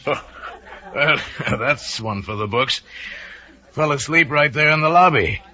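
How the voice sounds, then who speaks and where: A man's voice: mid-range voice, a little slow, sharp tone, voice pushed up. A man acting out a scheming radio-thriller husband. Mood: feigned cheer. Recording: muffled vintage radio recording with music.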